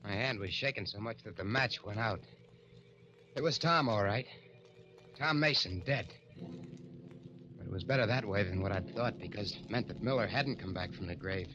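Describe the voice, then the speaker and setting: A man's voice: lowish voice, moderate pace, pauses often, natural voice. Guy acting haunted escaped killer, american, stammery and slangy, old muffled radio drama w music behind. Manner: hesitant, stammering, colloquial. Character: nervy escaped killer on the run, evasive, haunted.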